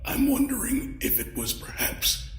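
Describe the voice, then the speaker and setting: Swooping, pompous undead old lord. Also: male voice, extremely high pitch, huge pitch swings, a bit bright, roomy sound, exaggerated voice. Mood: bitter, scheming. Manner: theatrical, pompous, slow dramatic delivery. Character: ancient decayed undead lord, grandiose, bitter, theatrical old villain. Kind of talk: audio drama acting.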